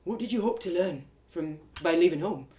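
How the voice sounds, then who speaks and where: A woman voicing a thoughtful, earnest young man, an innkeeper's son, in a fantasy audio drama, speaking softly. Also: on the low side, animated, normal speed, distant mic, natural voice. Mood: gentle, admiring. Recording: roomy home live reading.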